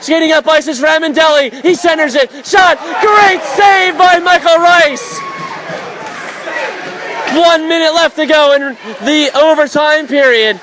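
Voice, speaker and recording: Guy doing hockey play by play, really high voice, excitable, loud rink crowd behind him. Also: a little slow, noisy background. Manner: excitable, vivid, dramatic calls. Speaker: radio play-by-play announcer. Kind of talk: live ice hockey play-by-play commentary. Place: indoor ice rink broadcast position. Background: hockey crowd and rink ambience.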